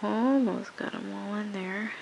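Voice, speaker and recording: A sniffly woman shows her craft work at a home table, and her slow voice has a gravelly edge. She rambles and restarts often, with a faint hiss under the camera mic. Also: medium pitch, a little mellow, low-quality recording. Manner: rambling, halting, with many ums and restarts. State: sniffly with a cold. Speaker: craft tutorial maker. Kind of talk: casual hands-on tutorial.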